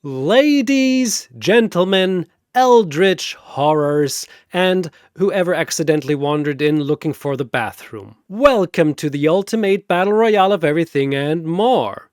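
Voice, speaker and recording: A man voicing a flamboyant, grandiose four-armed arena master of ceremonies in a fantasy audio show, announcing with drawn-out showman's emphasis. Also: on the high side, animated, a little mellow, clean tone, no echo, clean studio sound. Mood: grand welcome.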